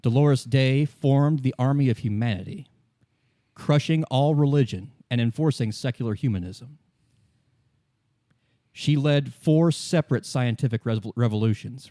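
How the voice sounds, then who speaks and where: A man narrating as game master, explaining fictional lore in a measured, solemn and deliberate storytelling manner. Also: medium pitch, warm tone, dry recording, studio quality. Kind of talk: improvised lore exposition in a tabletop game.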